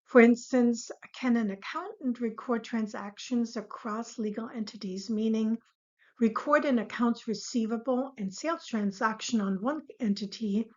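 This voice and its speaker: A woman instructor giving a scripted, explanatory lesson in a measured, formal way. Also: fairly high pitch. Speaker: accounting instructor. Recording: dull, lo-fi voice recording.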